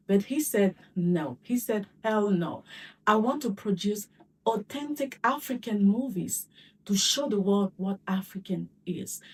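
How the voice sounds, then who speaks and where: A woman host with a somewhat husky voice, heard from a distance away from the mic. She speaks with formal, gracious introductions and short prompting questions. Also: mid-range voice, darkish tone. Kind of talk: interview hosting.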